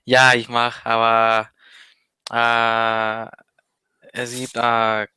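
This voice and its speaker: Teenage guy, slow, flat. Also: mid-range voice, clear, resonant tone, dry recording. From Mexico. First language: Spanish. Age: teens. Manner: hesitant, many pauses and fillers. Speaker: interview guest, language learner. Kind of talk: casual interview chat.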